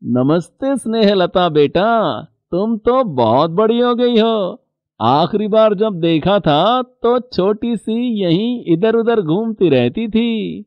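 A man's voice: high voice, animated, takes it slowly, no echo, highs cut off, full character voice. A man voicing a jolly, chatty middle-aged bangle trader in a cartoon story. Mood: cheerful. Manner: hearty, chatty.

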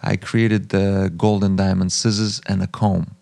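Low, gravelly man from the former Soviet Union. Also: level tone, measured pace, a little mellow, no echo. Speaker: guest, master barber and museum owner. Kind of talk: conversational interview talk. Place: small podcast studio with acoustic foam. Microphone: close studio mic on boom arm.

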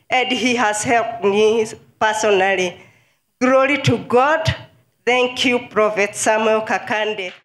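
A woman's voice: a little high, echoey room. A Kenyan woman whose first language is Kikuyu, giving an earnest, grateful healing testimony.

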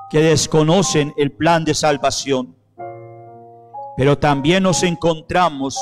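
Middle-aged priest praying at the altar, slow solemn phrasing, handheld mic, piano playing under him, voice a bit high. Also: male voice. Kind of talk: liturgical prayer and renewal of baptismal promises. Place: church sanctuary, at the altar. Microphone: handheld microphone. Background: soft piano music.

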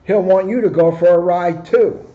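A man in his sixties, a police officer, reading a picture book aloud with joking asides, in a high-pitched voice. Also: moderate pace, slight background noise. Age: 60s. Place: office, indoors. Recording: dull, lo-fi camera microphone.

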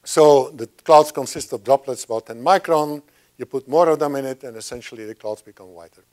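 A man's voice: medium pitch, a little fast, darkish tone. An older man at a podium, giving a chatty science talk with frequent restarts and asides. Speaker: guest speaker. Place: lecture room at a podium. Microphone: lapel mic.

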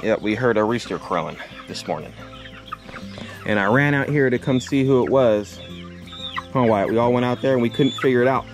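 A man's voice: mid-range voice, moderate pace, soft background music. A man in his thirties casually vlogging outdoors by a chicken coop, explaining his plans to the camera and his son. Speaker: homesteading vlogger. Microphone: handheld camera at arm's length.

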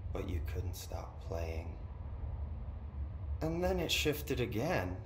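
An actor playing an eerie, unhinged possessed brother in a video game, recounting his dreams in a dreamy, deliberate way. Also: on the low side, sing-song, heavily acted. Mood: wistful, detached. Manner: dreamy, deliberate, unsettling storytelling. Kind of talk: video game dramatic monologue. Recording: roomy echo, faint background noise.

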